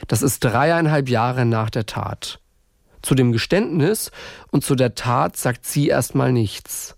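Man, podcast host, mid-pitched, dry recording. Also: slow pace, creaky, dry recording. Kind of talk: scripted true-crime podcast narration with chatty interview questions.

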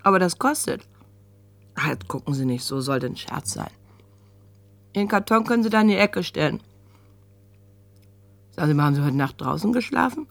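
An actress playing a blunt, nosy shopkeeper with a colloquial, muttering way of talking in a radio drama. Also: female voice, sits low, lively intonation, normal speed, vocal fry, pauses often, no room sound. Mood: nosy, teasing. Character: brusque, nosy, heavy-set female kiosk keeper. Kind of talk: German radio drama acting.

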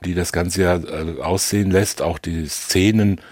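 A man discussing film reflectively in halting, long-winded, analytical sentences. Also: deep voice, fairly even intonation, darkish tone, no echo, studio quality. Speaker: podcast co-host discussing films.

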